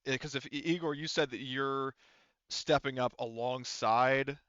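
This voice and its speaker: A man running a tabletop roleplaying game as game master, narrating casually and thinking aloud in a halting way. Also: medium pitch, fairly bright tone, clear, resonant tone, no echo, muffled recording.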